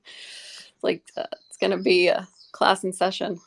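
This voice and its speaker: A woman's voice: lowish voice, monotone, a little slow, creaky. A woman chatting informally in a live audio interview, often saying "like". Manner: informal, frequent "like". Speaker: interviewed community member.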